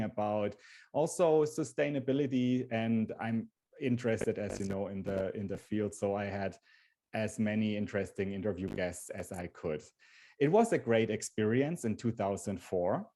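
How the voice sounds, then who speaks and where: A man's voice: fairly low pitch, dark tone. German-accented man hosting a webinar. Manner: earnest, repeats and restarts phrases. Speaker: webinar host. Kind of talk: live webinar presentation.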